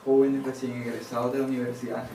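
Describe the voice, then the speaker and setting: A man's voice: medium pitch, little pitch movement, fast, warm tone. A man from Colombia with a Colombian accent, politely and a little hesitantly asking a question at a forum. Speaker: audience questioner. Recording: echoey room, distant, noisy.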